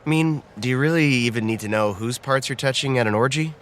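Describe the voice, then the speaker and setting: A man's voice: mid-range voice, fast, a little hiss or hum, natural voice. An actor playing an awkward, easily embarrassed young man on a playful date, talking hesitantly and naturally in a romcom audio drama. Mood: casual, curious. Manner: hesitant, bashful, conversational.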